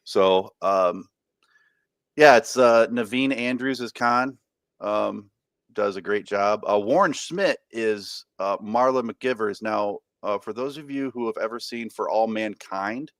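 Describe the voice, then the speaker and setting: Rambling low-voiced man podcasting. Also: slow pace, fairly bright tone, no echo, studio quality. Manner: rambling, frequent fillers and restarts. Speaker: podcast co-host. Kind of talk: casual podcast chat.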